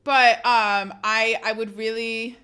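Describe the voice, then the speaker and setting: A woman's voice: on the high side, level tone, slow, pushed and bright, clean tone. A woman livestreamer chatting casually with her audience, chatty and self-deprecating, often laughing and reading chat aloud.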